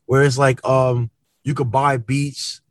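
A man's voice: mid-range voice, flat delivery, slow pace, no echo. Male rapper as podcast guest, mid-range voice, dry close sound, earnest and casual. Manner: earnest, repeats tags like "man" and "you know". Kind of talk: casual interview chat.

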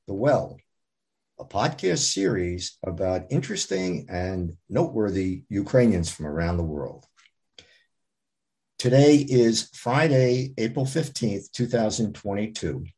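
Lively-voiced male podcast host. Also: lowish voice. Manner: formal, reads clearly. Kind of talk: scripted introduction and interview questions.

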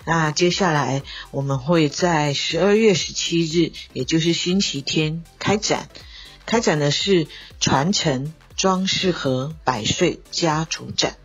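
Female official, low voice, Taiwanese Mandarin accent, formal announcement over music. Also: slow pace, slightly rough. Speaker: local culture and tourism office director.

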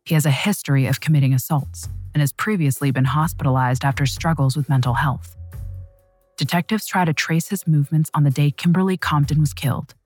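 A woman narrating a true crime story in a measured, dramatic scripted style. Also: deep voice.

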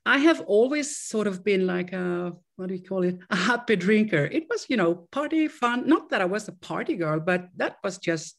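Halting Swedish-accented woman in her fifties. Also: medium pitch, animated, gravelly. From Sweden. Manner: halting, repeats words, laughs often. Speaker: guest. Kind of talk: casual interview.

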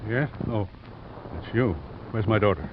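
A very deep-voiced father, ageing, sombre and protective, played by an actor in vintage radio drama style. He speaks in a grave, halting way. The recording is thin and badly band-limited, with strong noise. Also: dull audio, lightly acted. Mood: anxious, wary. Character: weary ageing father, protective of his grown daughter, sombre. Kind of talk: 1940s-50s American radio acting.

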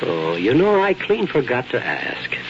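A man's voice: mid-range voice, wildly swooping pitch, measured pace. An actor playing a wry, affectionate middle-aged small-town doctor and father in 1940s radio drama, grumbling and teasing. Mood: resigned, gentle. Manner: teasing, folksy, dry. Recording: dull, noisy 1940s radio recording.

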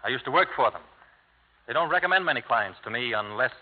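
Actor as fast-talking cynical American lawyer. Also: male voice, mid-range voice, lively intonation, lightly acted. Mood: cool, sardonic. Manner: clipped, wisecracking, insolent. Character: cynical, cocky hard-boiled male lawyer. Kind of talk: 1950s radio drama acting. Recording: tinny old radio broadcast, hall reverb.

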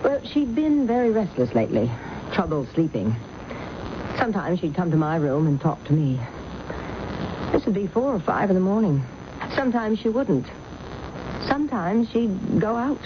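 An actress playing a worldly, composed show-business manager in a 1970s radio drama, knowing and conversational. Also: female voice, mid-range voice, very animated, moderate pace, pauses often, natural voice. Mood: confiding. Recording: muffled, noisy old radio recording.

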